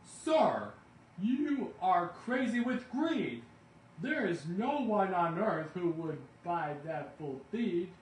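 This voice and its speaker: Storybook creature, male reader acting: small, old, mossy and bossy, high strained voice, emphatic and indignant, recorded off-mic. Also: sing-song, slow, full character voice. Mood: outraged. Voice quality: sharp, strained. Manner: bossy, emphatic, loudly declaiming. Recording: roomy, distant mic, faint background noise.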